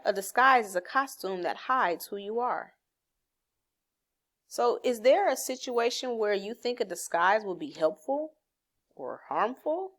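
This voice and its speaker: A woman's voice: a little high, fairly bright tone. A woman teaching a read-aloud lesson to children, explaining simply and encouragingly, posing questions and then answering them herself. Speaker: elementary reading teacher.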